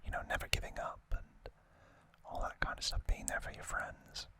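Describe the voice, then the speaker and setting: A man whispering in a hushed, deliberate manner, as an ASMR host chatting through an unboxing.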